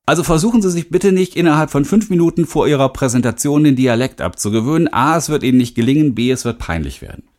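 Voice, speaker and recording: A man's voice: a little high, expressive, fast talker, few pauses, darkish tone. A middle-aged man from western Germany narrating his self-help audiobook as a speaking coach, candid and emphatic, talking straight to the listener. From Rhineland, western Germany.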